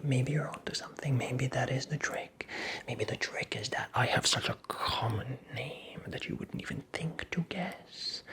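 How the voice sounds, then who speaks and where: A creepy little male imp acted out by a performer whispers slowly, in a sly and taunting way. The breathy delivery is vain and condescending, drawing out phrases as in a fairy-tale ASMR roleplay. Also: medium pitch. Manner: slow, teasing, condescending, drawn-out phrasing.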